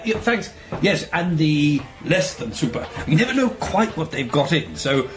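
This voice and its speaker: An actor plays a genial, easily pleased British boss in a radio comedy. His fairly high voice swoops as he rambles and hesitates, with music clearly behind him. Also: close to an everyday voice. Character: cheerful, woolly, easily pleased male magazine boss. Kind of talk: radio comedy drama acting.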